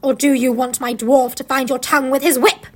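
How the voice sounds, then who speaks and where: Woman voicing a cruel, imperious witch queen, high-pitched. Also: little pitch movement, a little fast, breathy edge. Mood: imperious fury. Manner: commanding, scornful. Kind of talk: dramatised storybook reading.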